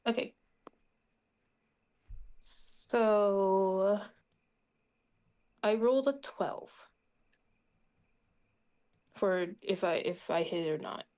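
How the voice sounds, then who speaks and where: A woman's voice: medium pitch, extremely slow, long pauses, a little mellow. A woman chatting casually as a player in a tabletop game, sounding unsure and questioning.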